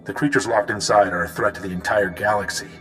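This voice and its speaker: An actor playing a grave, dutiful military commander of a prisoner unit in a video game, speaking earnestly and formally. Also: male voice, lowish voice, fairly even intonation, fast, natural voice. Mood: grave, resolute. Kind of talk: video game dramatic dialogue. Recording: long reverb, loud music underneath.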